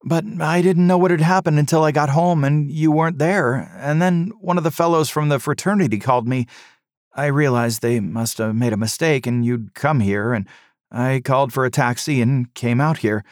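A man's voice: medium pitch, normal speed, no room sound, studio quality, voice pushed up, natural voice. Man voicing an apologetic young college son. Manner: eager, apologetic.

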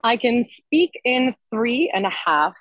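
A woman's voice: on the high side, slow, no echo, muffled recording. A woman singer-songwriter chatting casually and enthusiastically as an interview guest. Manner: enthusiastic, expressive.

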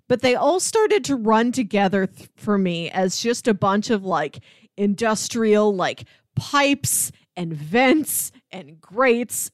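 A woman's voice: medium pitch, no room sound, studio quality. A woman co-hosting a movie podcast, chatting casually and acting out imagined lines as she goes. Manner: animated, acts out imagined dialogue. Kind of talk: casual film discussion.

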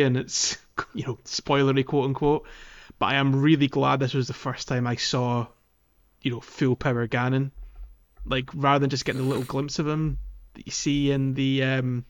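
Male podcast host, medium pitch, enthusiastic geeky chat, slightly dull audio. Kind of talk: casual game discussion podcast.

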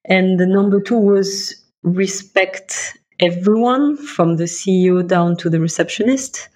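A middle-aged woman from Bulgaria, a guest in an interview, answering in a reflective, measured way and laying out her points one by one. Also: mid-range voice, a little mellow. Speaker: guest, investment partner.

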